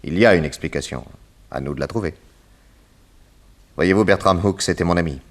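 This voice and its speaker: Male detective, very low voice, calm, methodical, determined, radio drama acting. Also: fairly quick. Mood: assured, reasoning.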